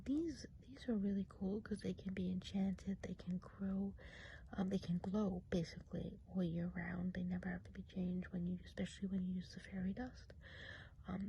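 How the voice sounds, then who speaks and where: Softly whispering woman as a friendly woodland witch, noisy recording. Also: mid-range voice, level tone, close to an everyday voice. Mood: gentle, chatty. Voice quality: soft, breathy whisper. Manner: gentle, unhurried, chatty and hesitant. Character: friendly, chatty Christmas witch of the woods. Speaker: ASMR role-play host. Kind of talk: whispered ASMR role play.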